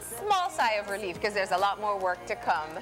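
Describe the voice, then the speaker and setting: A woman co-hosting a morning TV show, speaking in an encouraging, chatty way. Her voice sits at an ordinary pitch for a woman. Music plays clearly in the studio behind her. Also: very animated, normal speed. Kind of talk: casual live TV chat. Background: background music.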